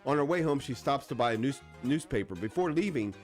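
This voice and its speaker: Male radio co-host, animated intonation, easy banter and story jokes, music underneath. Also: medium pitch, brisk. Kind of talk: casual banter and joke-telling. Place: radio studio. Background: music bed.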